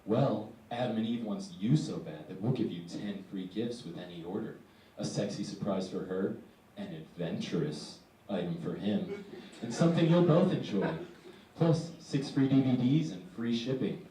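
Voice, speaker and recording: A man's voice: on the low side, moderate pace, mellow, voice pushed down, natural voice. A man voicing a seductive woman announcer in an advertisement, sultry and salesy, recorded in an echoey room at a distance with faint noise. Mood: sultry sales pitch. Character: seductive woman voice-over in a radio-style advertisement.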